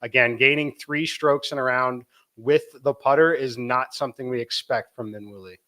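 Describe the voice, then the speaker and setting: A man giving golf betting analysis in a measured, analytical way. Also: mid-range voice, fairly even intonation, pushed and bright. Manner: measured, analytical, explains his pick.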